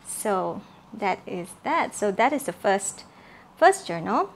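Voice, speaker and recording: A woman from Malaysia showing her handmade journal kits in a casual, soft-spoken way, with many fillers and repeated words. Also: medium pitch, sing-song, takes it slowly. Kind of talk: casual show-and-tell craft video.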